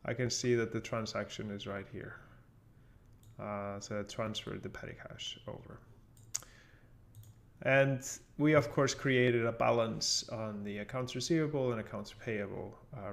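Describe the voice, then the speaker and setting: Man with gravelly, creaky voice giving software tutorial. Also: mid-range voice. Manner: explains step by step. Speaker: software instructor. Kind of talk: casual screen-share tutorial narration.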